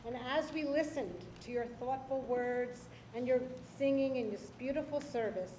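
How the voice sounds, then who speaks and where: Woman, congregation president, formal congratulatory speech; mellow tone, roomy sanctuary echo. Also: high-pitched, moderate pace. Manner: warm congratulatory address. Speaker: temple president. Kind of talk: speech at a service. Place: synagogue sanctuary. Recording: echoey sanctuary with faint noise.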